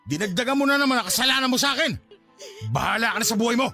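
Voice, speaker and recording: High-pitched, callous Filipino lover. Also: male voice, animated, rapid-fire, bright, forceful tone, soft background music, exaggerated voice. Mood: angry, scornful. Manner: scolding, dismissive. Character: irritable, evasive, callous male lover. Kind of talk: radio drama acting.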